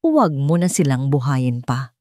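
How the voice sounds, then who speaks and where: A woman's voice: a bass voice, sing-song, normal speed, mellow, dry recording. A woman storyteller puts on a cold, domineering Filipino rich wife from an audio romance. She is two-faced and used to giving orders. The sound is dry and close. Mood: cold, menacing. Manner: commanding, code-switching into English.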